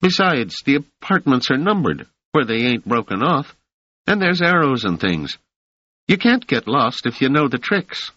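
A man's voice: medium pitch, very animated, unhurried, pushed and bright, husky, no echo, highs cut off, voice pushed up, full character voice. A man voicing a scrappy, streetwise teenage boy who talks in careless slang. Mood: offhand, cocky. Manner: slangy street grammar, offhand.

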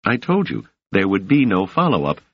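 A man reading an audiobook voices a worried, protective woman in a raised, softened voice, earnest and insistent. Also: low-pitched, sing-song, moderate pace, breathy edge, dry recording, pitched above the performer's own voice, full character voice. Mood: firm. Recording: dull, dry, lo-fi sound.

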